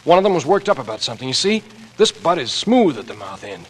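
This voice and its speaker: A man's voice: a little high, very animated, fast, breathy edge. An actor playing an anxious, earnest young American man turned amateur sleuth in 1940s radio drama, speaking in terse, clipped deductive phrases. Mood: intent, explaining. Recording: noisy old radio drama recording.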